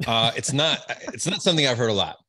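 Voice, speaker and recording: A man's voice: medium pitch, lively intonation, quick pace, sharp tone. A man in his forties casually interviewing a guest as a podcast host. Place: indoor room, video call.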